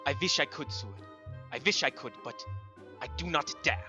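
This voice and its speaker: An actor playing a grave, cautious old foreign professor in a radio horror drama, with halting, emphatic phrasing. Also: male voice, on the high side, lively intonation, dull audio, lightly acted. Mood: ominous warning. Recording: loud music behind the voice.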